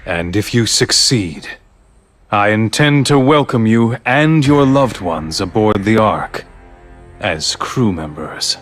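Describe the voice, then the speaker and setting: An actor playing a calm, persuasive leader of a grand scheme in a video game, speaking in formal, measured, eloquent phrases. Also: male voice, on the low side, faint music behind. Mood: grave, persuasive. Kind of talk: dubbed video game cutscene acting.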